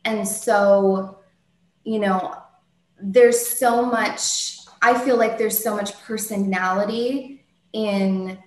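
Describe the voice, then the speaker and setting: A woman, a singer-songwriter, answering interview questions in a soft soprano voice, thoughtful, with many ums and restarts. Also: mid-range voice, steady tone, slow pace, echoey room, low-quality recording.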